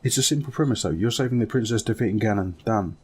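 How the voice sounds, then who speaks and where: A man's voice: on the low side, fast, a little mellow, slightly rough. A man chatting casually in a group as co-host of a gaming podcast. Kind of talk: casual group chat about games and films.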